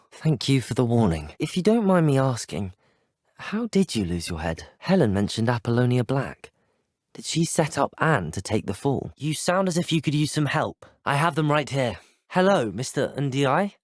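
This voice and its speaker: A man's voice: mid-range voice, no room sound, studio quality. A young man with a British accent playing a polite, curious, brave teenage student wizard in a video game. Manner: polite, well-spoken, formal. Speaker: video game player character. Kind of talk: scripted video game dialogue.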